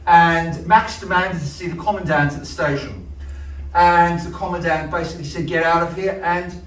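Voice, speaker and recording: A man with a loud voice leading a choir, telling a story to a live audience in a chatty way. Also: on the high side, steady tone, moderate pace. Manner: chatty, storytelling, asides to the crowd. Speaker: choir director. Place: large hall. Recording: echoey hall from a distance.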